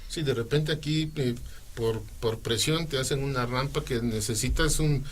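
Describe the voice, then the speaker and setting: A man's voice: medium pitch, normal speed, slight background noise. A man co-hosting a talk show, making reflective points and building them up through repetition. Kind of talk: conversational interview.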